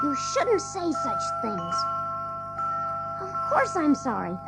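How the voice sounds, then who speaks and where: A woman's voice: very high voice, full character voice. A woman playing a cocky, boastful little boy with a childlike manner in an audio drama of a storybook. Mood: eager. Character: cocky, boastful ageless little boy who can fly.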